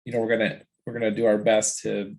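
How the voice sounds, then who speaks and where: A man with a low, gravelly voice full of fry talks informally as a participant in a meeting. He is earnest and halting as he makes his points. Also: monotone, darkish tone.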